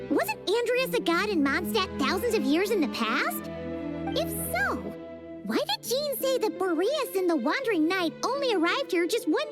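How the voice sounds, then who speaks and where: A tiny excitable fairy sidekick from a video game. The voice is squeaky, childlike and extremely high, swinging around expressively, with loud music behind it. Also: female voice, heavily acted. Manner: refers to self in third person, expressive. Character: tiny excitable childlike floating fairy companion, female, curious and loyal. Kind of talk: video game character dialogue.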